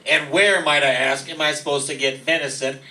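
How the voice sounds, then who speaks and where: A man playing an angry, indignant father and neighbor in a gruff, confrontational way in a radio comedy play. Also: fairly high pitch, fairly even intonation, a little fast, bright, forceful tone. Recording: echoey room, distant mic.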